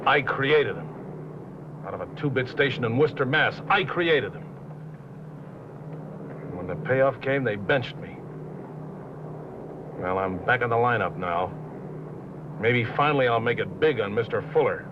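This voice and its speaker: An actor playing a scheming, bitter showbiz manager in a 1950s film drama, talking in blunt, bossy slang. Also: male voice, mid-range voice, lively intonation, a little slow, pauses often, close to an everyday voice. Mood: bitter, boastful. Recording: muffled, noisy 1950s film soundtrack.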